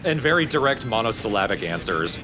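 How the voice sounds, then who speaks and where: Lively low-voiced man over game music. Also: brisk. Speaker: let's play co-host. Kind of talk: casual gaming commentary with joking impressions. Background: game music and sound effects.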